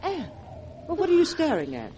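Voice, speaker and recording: A woman's voice: high-pitched, wildly swooping pitch, moderate pace, warm tone. A woman playing an anxious, soothing relative in a 1940s radio horror drama. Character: anxious, soothing female relative in a haunted manor. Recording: noisy, dull 1940s radio recording.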